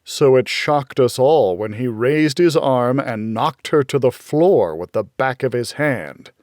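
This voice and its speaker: A man reading first-person fiction as an audiobook narrator, in a measured, dramatic storytelling manner. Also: medium pitch, animated, a little mellow, no room sound, clean studio sound.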